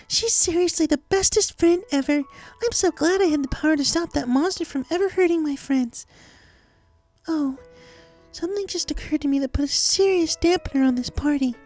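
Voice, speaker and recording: A haunted, desperately upbeat girl pony from a cartoon fan story. The voice is extremely high and earnest, narrating a diary with faint music underneath. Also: darkish tone. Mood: troubled, earnest. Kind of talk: dramatized diary reading of fan fiction.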